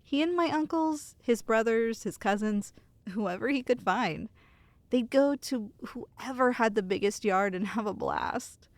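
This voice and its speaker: A woman's voice: fairly high pitch, a little slow, no echo. A woman playing an affectionate, teasing girlfriend who soothes a nervous partner in an intimate ASMR roleplay. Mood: warmly amused. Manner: tender, playful, teasing, reassuring.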